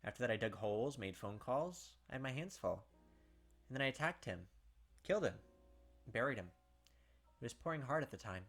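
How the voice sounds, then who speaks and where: Man, let's play host, chatty, bright voice, faint music. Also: mid-range voice, lively intonation, a little fast, long pauses. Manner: chatty, filler-heavy with frequent "like". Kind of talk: casual game commentary and read-aloud.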